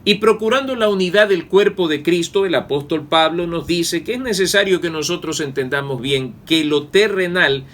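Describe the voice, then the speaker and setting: Earnest higher-voiced male preacher. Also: fairly bright tone. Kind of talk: Bible study sermon.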